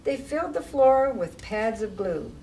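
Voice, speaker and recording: A woman reading to young children as a library storytime reader, with measured, expressive phrasing and casual asides. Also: a little high, gravelly. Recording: distant mic with faint background noise.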